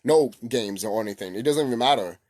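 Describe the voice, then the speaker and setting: A man's voice: mid-range voice, lively intonation, fast talker, darkish tone. A man giving a casual, unscripted opinion rant as a gaming commentator, blunt and repetitive with heavy swearing.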